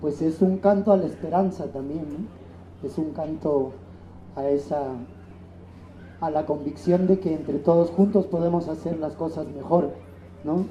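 A man's voice: fairly high pitch, a little slow, warm tone. A middle-aged man, a musician giving earnest interview answers. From Mexico, born in Chile. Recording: dull, echoey room, faint noise.

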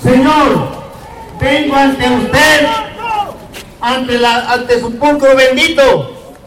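An older Mexican man reading a letter aloud as a solemn, pleading public oration, declaiming in short phrases with deliberate pauses. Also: extremely high pitch, a bit bright. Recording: voice far from the microphone.

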